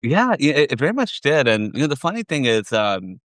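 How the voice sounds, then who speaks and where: A middle-aged man with an American accent, giving measured, explanatory answers as an interview guest. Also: mid-range voice, big pitch swings, a bit bright, no echo, studio quality. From southern California, USA. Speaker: interview guest, finance executive.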